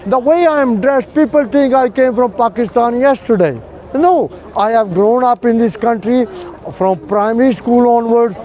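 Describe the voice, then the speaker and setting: A man's voice: very high-pitched, moderate pace, darkish tone, muffled recording. An older man talking earnestly with a passer-by on a city street, explaining his views with many fillers. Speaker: street preacher. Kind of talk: street conversation. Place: busy city street outdoors.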